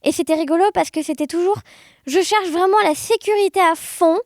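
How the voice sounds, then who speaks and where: High-pitched young woman, expressive. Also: brisk, no echo, studio quality. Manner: animated, expressive, uses sound words. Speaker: podcast guest. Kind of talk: casual conversational storytelling.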